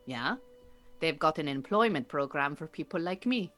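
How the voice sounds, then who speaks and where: Low-voiced, earnest refugee woman beekeeper. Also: expressive, moderate pace, fairly bright tone, soft background music. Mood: matter-of-fact. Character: Iranian refugee woman beekeeper, dry, earnest, patient mentor. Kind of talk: naturalistic audio drama dialogue.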